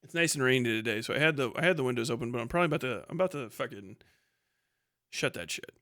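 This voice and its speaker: A man's voice: mid-range voice, expressive, rapid-fire, sharp tone, no echo, studio quality. A man hosting a casual livestream, reading viewer comments and riffing in a profane, sardonic way. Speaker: livestream host.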